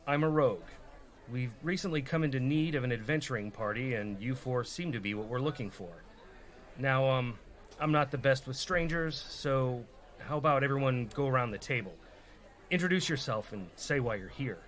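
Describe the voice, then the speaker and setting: A man's voice: mid-range voice, normal speed, fairly bright tone, close to an everyday voice. An actor playing a sensible, skeptical rogue in a comedy radio play, a dry and exasperated straight man. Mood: awkward.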